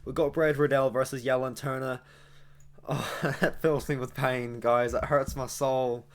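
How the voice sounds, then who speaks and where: A man's voice: medium pitch, flat delivery, measured pace. A young man with a New Zealand accent, hosting an MMA analysis channel and chatting through a fight prediction in a rambling, self-correcting way, with asides to viewers. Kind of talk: casual fight prediction monologue.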